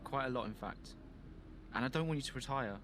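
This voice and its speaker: An actor playing an anxious, earnest young racing driver in a video game, hesitant and apologetic, seeking reassurance. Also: male voice, medium pitch, a little fast, noisy background, lightly acted. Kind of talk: cinematic video game dialogue.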